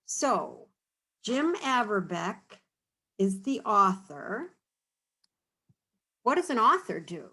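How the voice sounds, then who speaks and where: A woman's voice: medium pitch, sing-song, takes it slowly, pauses often, bright, forceful tone, husky, poor audio. A woman reading a picture book aloud to children, stopping to ask questions and explain in a teacherly, encouraging way. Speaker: read-aloud storyteller for children.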